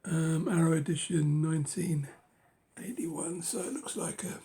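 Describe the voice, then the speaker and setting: A hoarse middle-aged man with a cold, ambling slowly through a casual chat and pausing on every um. His voice is gravelly and creaky, and it often sinks to a breathy whisper. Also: on the high side, dark tone. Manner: halting, many ums and uhs, drops to a whisper. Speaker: book collector vlogger. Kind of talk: casual show-and-tell of books.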